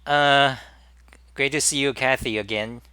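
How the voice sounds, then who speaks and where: A man with a Chinese accent hosting a live-stream talk, speaking in halting bursts with many restarts and repeated words. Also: medium pitch, steady tone, bright, forceful tone, smooth, no echo. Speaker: host.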